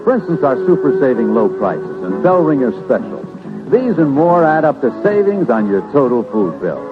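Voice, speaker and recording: A man's voice: mid-range voice, very animated, moderate pace. A man reading an upbeat scripted commercial as a vintage radio announcer. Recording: tinny vintage radio ad with music.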